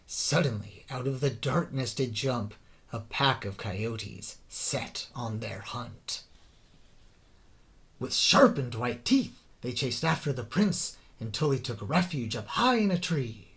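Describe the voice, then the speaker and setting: A man narrating a rhyming children's story in a theatrical, deliberate way, drawing out the ends of phrases. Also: mid-range voice, lively intonation, gravelly, a bit bright. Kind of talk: dramatic scripted children's story narration in rhyme.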